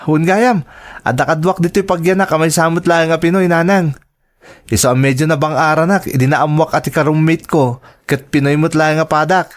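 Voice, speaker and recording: A man's voice: a little high, fairly even intonation, moderate pace, natural voice. A man playing an affectionate, earnest adult son working abroad, in a dramatic radio-style performance. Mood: affectionate, upbeat.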